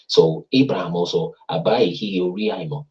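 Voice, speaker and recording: A man's voice: sits low, steady tone, normal speed, dark tone. A man hosting a casual talk, explaining in a teacherly way and tagging his points with "right?" and "you see". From Africa. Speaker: host explaining language links. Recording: dull lo-fi sound.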